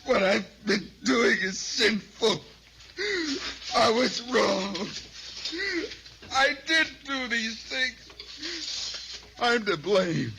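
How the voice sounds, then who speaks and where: A gentle, guilt-ridden man in vintage radio drama. He speaks extremely slowly and haltingly, with a gravelly creak. His anguish swings through a wide range of pitch. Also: animated, noisy background, heavily acted. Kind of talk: 1950s radio drama acting.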